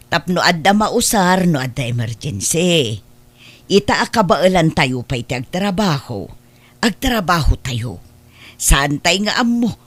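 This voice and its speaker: A woman's voice: deep voice, dry recording. A woman playing a frank older aunt who counsels and admonishes her niece in a family radio drama. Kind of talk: Ilocano radio-style family drama acting.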